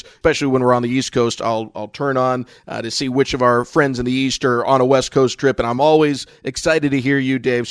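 A man's voice: medium pitch, clear, resonant tone, dry recording, clean studio sound. A man who works as a sports radio broadcaster, hosting a podcast with analysis and interview talk.

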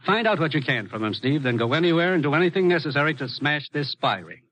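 An actor playing a brisk, authoritative spy agency commissioner in a 1950s radio drama, firm and clipped. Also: male voice, fairly high pitch, very animated, a bit bright, highs cut off. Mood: businesslike. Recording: vintage radio recording, slight noise.

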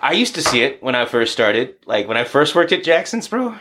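A man in his thirties, a boxing coach and former DJ, talking casually and profanely on a podcast, telling stories and acting out quick asides. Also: medium pitch, very animated, background noise.

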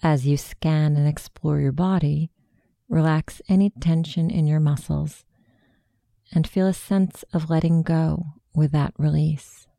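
Female meditation guide, low-pitched and unhurried, with a very soft dark tone and gravelly creak. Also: steady tone, dry recording, studio quality. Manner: slow, hushed, soothing instruction.

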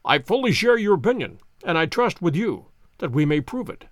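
Male reader doing a sharp gentleman detective, polite, probing, formal, lively pitch, audiobook. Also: normal speed, no echo, studio quality. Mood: courteous, probing. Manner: crisp, formal questioning. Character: keen, composed gentleman detective, courteous and probing.

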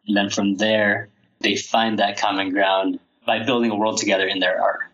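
A man's voice: lowish voice, flat delivery, normal speed, fairly bright tone, muffled recording. A man being interviewed about his picture book, answering with small restarts and repeated words. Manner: hesitant, repeats words, laughs easily. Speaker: author, guest.

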